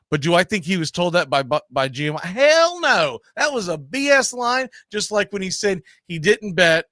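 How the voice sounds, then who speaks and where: He is a man hosting a casual, argumentative sports discussion. His voice is bright and sharp, pitched a little high, in a very dry recording. He talks emphatically, circling back to repeat words as he makes his case. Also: no echo. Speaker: sports talk host.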